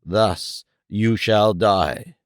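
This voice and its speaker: A grim, vengeful jungle-raised hero speaks in a low, slow, menacing voice, as a male narrator puts him on. The tone is smooth and resonant. It is recorded dry and close. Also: no room sound, lightly acted.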